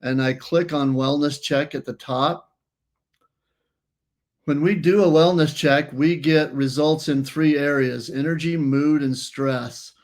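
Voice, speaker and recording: A man's voice: on the high side, fairly even intonation, takes it slowly. A man presenting a walkthrough of a wellness app, explaining step by step and often restarting phrases. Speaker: company presenter.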